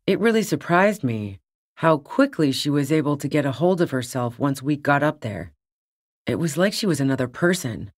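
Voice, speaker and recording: A woman's voice: sits low, no echo, clean studio sound. A woman voicing a tough, cynical, streetwise smuggler on the run in a video game, with a blunt, sardonic manner. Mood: wry, reflective. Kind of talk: video game character dialogue.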